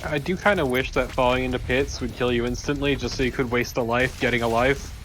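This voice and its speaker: A man's voice: mid-range voice, fairly even intonation, fairly quick, fairly bright tone, slight background noise. A man doing casual video game commentary as a co-host, with a dry, opinionated way of talking. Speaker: let's play co-host. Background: game music.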